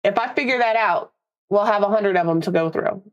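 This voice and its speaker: A woman's voice: mid-range voice, a little fast, dull audio. Young woman streamer with a stuffy, slightly nasal voice. Chatty and rambling, laughs easily, casual live chat. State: congested, a little nasal.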